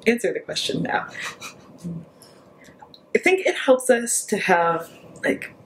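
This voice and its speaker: A woman's voice: on the high side, very animated, slow pace, a little hiss or hum, rough recording. A woman talking casually in an interview about her friendship with a coworker, chatty and full of fillers. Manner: chatty, uses lots of "like" and "so". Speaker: campus minister supervisor.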